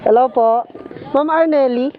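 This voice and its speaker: A woman's voice: sits high, unhurried, narrow, tinny audio. A woman with a Filipino accent chatting casually as a motorcycle taxi rider, teasing and joking with passengers and laughing often. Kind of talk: casual chat and banter with passengers while riding. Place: outdoors on a motorcycle in street traffic. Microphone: helmet intercom mic. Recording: loud outdoor road and vehicle noise.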